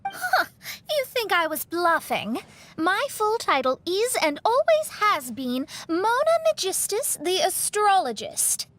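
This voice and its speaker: A woman's voice: very high-pitched, very animated, slow pace, fairly bright tone, exaggerated voice. A woman playing a proud, dramatic young astrologist in an anime-style video game, haughty and emphatic. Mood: indignant, proud.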